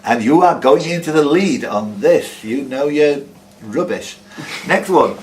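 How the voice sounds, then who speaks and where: A man's voice: fairly high pitch, expressive, unhurried, a little mellow, a little hiss or hum. A man hosting a quiz, reading questions aloud and teasing the players with jokey casual banter.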